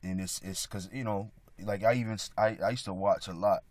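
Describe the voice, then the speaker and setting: A man with a slightly raspy, hoarse voice giving a casual religious talk, halting with many restarts and frequent throat clearing. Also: lowish voice, steady tone, darkish tone, no echo. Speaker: religious video speaker.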